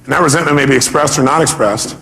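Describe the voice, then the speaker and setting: A man's voice: medium pitch, quick pace, vocal fry, pushed and bright, slight background noise, low-quality recording. A man speaking as a motivational seminar leader, emphatic, putting rhetorical questions to his listeners, repeating key points and acting out reactions.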